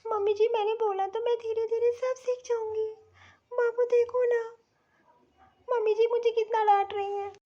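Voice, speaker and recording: Spoiled, scheming young bahu for family cartoon female actor; extremely high voice, whiny and bossy, dull recording. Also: little pitch movement, moderate pace. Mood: whiny pleading. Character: spoiled, scheming young city daughter-in-law. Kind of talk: Hindi family-drama cartoon voice acting.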